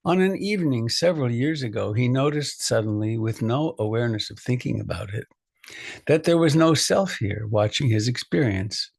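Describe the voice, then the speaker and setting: A man, a meditation teacher, reading a reflective poem aloud in a measured, deliberate way. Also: mid-range voice, expressive, vocal fry, slightly rough, dry recording.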